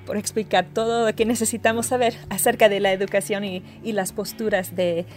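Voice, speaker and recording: A woman's voice: mid-range voice, little pitch movement, brisk. Woman reporter conducting a news interview. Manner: prompts and finishes the guest's words.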